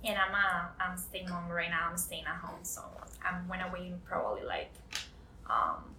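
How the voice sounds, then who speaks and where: A woman's voice: fairly low pitch, normal speed. Young woman, roomy distant sound. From Costa Rica. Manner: excited, chatty. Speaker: interview guest, first-time mother. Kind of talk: casual personal storytelling. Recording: roomy, distant mic, faint noise.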